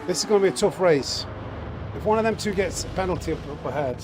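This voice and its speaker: A man's voice: high-pitched, expressive, fast talker. A man giving informal live commentary on a racing game, coaching himself and exclaiming as he goes. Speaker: live streamer, racing gamer. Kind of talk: live gaming commentary, muttering to self. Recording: loud car engine noise behind. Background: racing game car engines.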